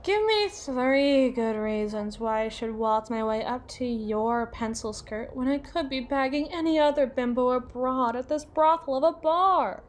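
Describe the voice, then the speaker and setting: Woman acting tipsy haughty boss lady, slow and theatrical. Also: sits high. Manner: theatrical, drawn-out, playful wordplay, slipping into breathy whispers. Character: tipsy, haughty, melodramatic female boss flirting with her employee. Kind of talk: ASMR roleplay voice acting.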